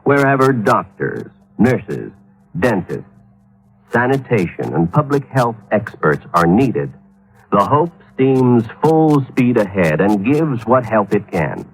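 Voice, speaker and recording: A male announcer reading a scripted public service announcement in an earnest, measured way. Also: on the low side, big pitch swings, slightly rough, low-quality recording.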